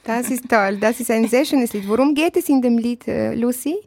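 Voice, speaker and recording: A woman's voice: a little high, moderate pace, gravelly, darkish tone. A woman hosting a radio show, friendly and encouraging as she welcomes studio guests. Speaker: radio host. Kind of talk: radio interview moderation. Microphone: studio mic.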